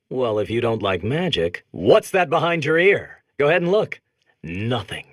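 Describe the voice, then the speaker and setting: A man's voice: on the high side, fairly bright tone, slightly rough, exaggerated voice. A man voicing an eager, awkward robot stage magician in a comic game, with theatrical patter that trails into asides. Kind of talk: comic video game character acting.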